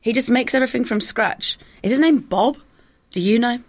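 A woman's voice: on the high side, moderate pace. A young woman from Croydon, a chatty guest who laughs easily and swears casually. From Croydon, England. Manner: giggly, expressive, swears casually. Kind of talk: casual comedic chat.

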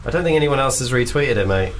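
A man's voice: medium pitch, lively intonation, fast, a loud crowd. A young man co-hosting a casual comedy podcast, laughing and joking around. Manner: jokey, laughing, tries silly accents. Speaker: podcast co-host.

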